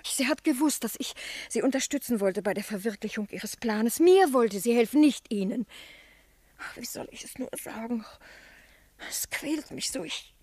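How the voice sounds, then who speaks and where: A woman playing a nervous, lovelorn aging spinster in a German radio play, halting and breathy, repeating her words. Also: a little high, animated, a little mellow, dry recording. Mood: ashamed, pleading.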